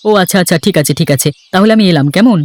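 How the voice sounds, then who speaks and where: A man's voice: high voice, racing, darkish tone, no echo. A man voicing an easygoing, hardworking farmer and husband in a cartoon folk tale. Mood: content, reasonable. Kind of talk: Bengali cartoon fairy-tale dialogue.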